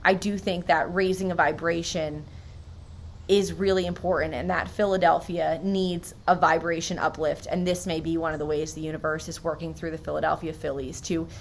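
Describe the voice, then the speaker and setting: An American woman with a slightly low voice talks like a vlogger sharing her own experiences. Her delivery is informal and sincere, mixing everyday storytelling with spiritual reflection. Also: flat delivery, moderate pace, a little hiss or hum. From New Jersey, United States. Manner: informal and earnest, frequent "like" and "so".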